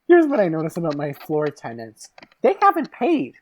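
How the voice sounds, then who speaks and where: A woman playing a rambling, animated landlord witness. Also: low-pitched, normal speed, a little hiss or hum, voice pushed down. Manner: rambling, self-justifying, literal-minded. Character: chatty, dim, well-meaning female landlord witness. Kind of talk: improvised comedy scene.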